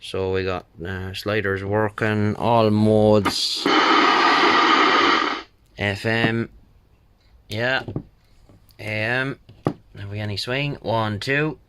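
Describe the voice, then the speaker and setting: Slow, low-pitched Irish repairman. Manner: unhurried, thinking aloud, colloquial. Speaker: radio repair technician. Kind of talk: casual spoken bench walkthrough. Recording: steady background noise, bench clicks.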